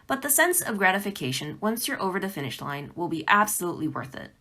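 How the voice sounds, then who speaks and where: A woman's voice: medium pitch, very animated, fast talker, pushed and bright. A woman presenting a pre-recorded instructional talk as a game developer, speaking in an emphatic, encouraging way.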